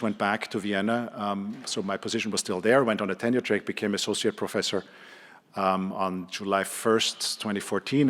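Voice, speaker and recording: A middle-aged man with an Austrian accent giving an informal conference presentation, haltingly, with repeated words and many hesitations. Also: lowish voice. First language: German. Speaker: conference speaker, neurologist and clinical trialist. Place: conference hall, speaking to an audience. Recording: reverberant hall sound.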